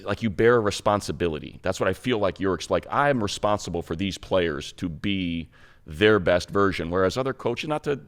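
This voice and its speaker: A man's voice: low-pitched, animated, moderate pace. An American man interviewing conversationally as a sports podcast co-host, wordy, rephrasing his questions as he goes. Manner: wordy, rephrases questions, voices imagined quotes.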